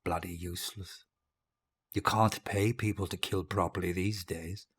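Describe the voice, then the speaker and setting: Husky, pompous dying dictator. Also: male voice, deep voice, fairly even intonation, measured pace, a bit bright, heavily acted. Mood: wry, sinister. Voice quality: husky, breathy rasp. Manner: pompous, theatrical, savouring words, drifting into murmurs. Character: deposed-feeling murderous dictator facing death, grandiose and bitter. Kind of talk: dramatic monologue in audio drama.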